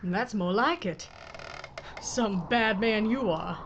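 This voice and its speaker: An actress playing a blunt, folksy Old West sheriff with an in an audio drama. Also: female voice, medium pitch, slow pace. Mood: commanding, scornful. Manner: plain-spoken, hard, folksy. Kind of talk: Western audio drama acting. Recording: muffled lo-fi with clear noise.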